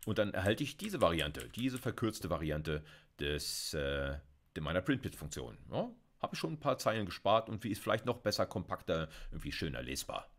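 Low-voiced man giving a casual lecture. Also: moderate pace. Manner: relaxed, chatty, frequent fillers and self-corrections. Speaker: university lecturer. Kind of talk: casual recorded video lecture with live coding.

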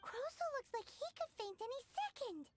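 Squeaky, excitable little fairy girl. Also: normal speed, music in the background. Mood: awed. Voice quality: squeaky, childlike. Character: tiny childlike floating fairy girl, cheeky and excitable. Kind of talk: anime-style video game voice acting.

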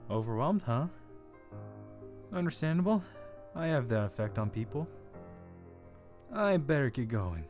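A man's voice: medium pitch, very animated, measured pace, long pauses, darkish tone, soft background music. A man playing a smug, manipulative young god with a casual, dismissive delivery in a comic dub.

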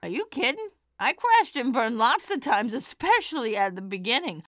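A woman playing an elderly, kindly widowed stand-up comedienne in an audio drama, chatty and animated. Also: on the high side, moderate pace, dry recording, studio quality. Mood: sympathetic, candid.

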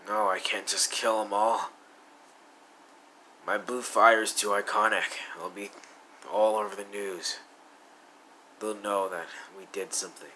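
Low, slow brooding young villain as a man plays him. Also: fairly even intonation, bright, forceful tone, a little hiss or hum, poor audio. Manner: low, slow, muttering, sometimes whispered. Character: brooding young male villain with blue fire. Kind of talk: fan audio roleplay.

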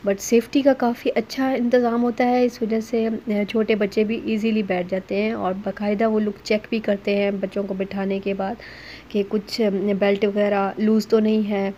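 Casting: female family vlogger, mid-pitched voice, conversational and hesitant, recorded with clear ambient noise behind. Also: warm tone. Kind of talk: casual vlog narration. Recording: noisy ambient background behind voice.

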